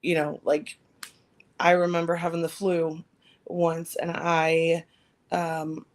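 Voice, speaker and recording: Slightly raspy woman chatting casually. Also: low-pitched, flat delivery, takes it slowly. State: slightly raspy after anesthesia. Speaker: podcast co-host. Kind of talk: casual chat.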